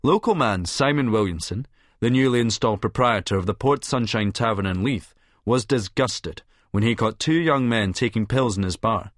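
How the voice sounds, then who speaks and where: Guy doing a smug scottish publican telling his own story, sardonic and wordy, kinda low voice, really dry recording. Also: normal speed, no room sound, lightly acted. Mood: mock solemn. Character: cynical, vain male Edinburgh publican and schemer, smugly self-satisfied. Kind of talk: first-person audiobook narration in character.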